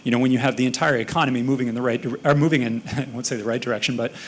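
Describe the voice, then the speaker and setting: A man's voice: medium pitch, steady tone, rapid-fire. American man musing on a panel. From United States. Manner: thoughtful, self-correcting, conversational. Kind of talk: panel discussion.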